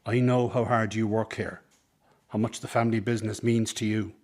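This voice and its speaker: Smooth-talking, scheming circus man, played by an actor. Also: lowish voice, steady tone, a little fast, a little mellow. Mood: earnest, coaxing. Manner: ingratiating, persuasive, coaxing. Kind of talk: dramatic radio play dialogue.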